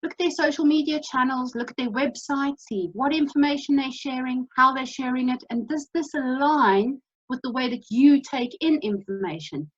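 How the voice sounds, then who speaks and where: A South African woman gives a brisk, instructive presentation in a practical, matter-of-fact way. Her voice sits a little high and sounds somewhat husky. The audio is dull and lo-fi, like a video call. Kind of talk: presentation talk.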